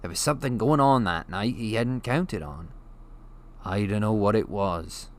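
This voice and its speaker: A man voicing a big, gruff but kindly giant in an audiobook, with folksy, ungrammatical and emphatic speech. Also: on the low side, unhurried, lightly acted. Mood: earnest. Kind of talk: audiobook character voice.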